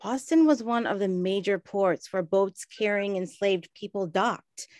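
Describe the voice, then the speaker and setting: An actress playing an earnest, educated woman who explains things in a measured way in a 1920s radio play. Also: mid-range voice, a little mellow, dry recording, studio quality, lightly acted. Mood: grave.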